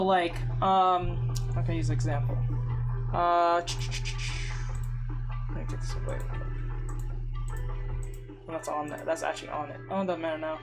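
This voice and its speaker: A streamer teaches clothing folds while sketching live. The voice is hoarse from talking too long, and the delivery rambles with false starts and fillers. Music plays clearly in the background at a mid-range pitch. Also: low-quality recording. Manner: rambling, filler-heavy, many restarts. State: losing voice, hoarse. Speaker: art streamer. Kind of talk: live-stream drawing tutorial.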